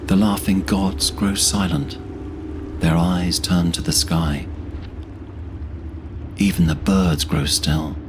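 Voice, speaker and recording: A man narrating scripted fantasy as a storyteller in a solemn, measured manner. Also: deep voice, steady tone, noisy background.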